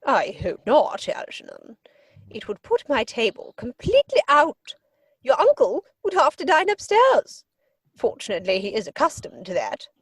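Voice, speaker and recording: A woman's voice: on the high side, wildly swooping pitch, moderate pace, slightly rough, no echo, exaggerated voice. A woman playing an imperious older aristocratic English lady in a theatrical comedy reading, pompous and emphatic. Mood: disapproving.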